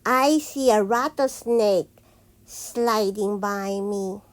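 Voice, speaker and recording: A woman reading children's picture books aloud as a teacher, in a deliberate, sing-song manner. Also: medium pitch.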